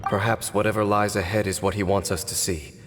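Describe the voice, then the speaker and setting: Low, solemn male warrior, acted by a man. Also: fairly even intonation, big-room sound, faint music behind, natural voice. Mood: grave, composed. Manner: formal, measured, serious. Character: solemn, stoic male warrior guide, measured and serious. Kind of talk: video game voice acting.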